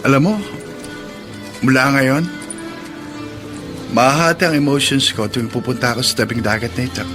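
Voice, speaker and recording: Casting: male actor as gentle young Filipino man, mid-pitched, grieving, polite, radio drama, clear music bed. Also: steady tone, normal speed, lightly acted. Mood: tender. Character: sensitive, polite young man grieving his older sister.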